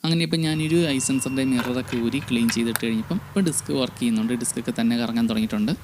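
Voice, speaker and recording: Fast-talking man explaining repairs. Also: mid-range voice, fairly even intonation, noisy background. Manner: explanatory, step by step, addressing viewers. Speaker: electronics repair channel host. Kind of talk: voice-over narration of a restoration tutorial.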